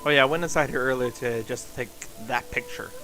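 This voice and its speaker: A man's voice: medium pitch, fast, bright, forceful tone, soft background music, poor audio. A man doing casual live game commentary as a streamer, rambling and thinking aloud.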